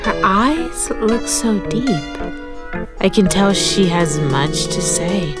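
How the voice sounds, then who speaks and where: An actress playing an awestruck, tender carnival visitor, halting with wonder in an audio drama. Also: female voice, medium pitch, animated, over loud music. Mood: awed.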